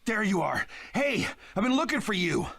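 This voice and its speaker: A man's voice: high voice, big pitch swings, normal speed, creaky, fairly bright tone, no echo, heavily acted. A man voicing a blunt, urgent young ninja delivering bad news in an English game dub. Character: rough young male ninja, bearer of news. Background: game music.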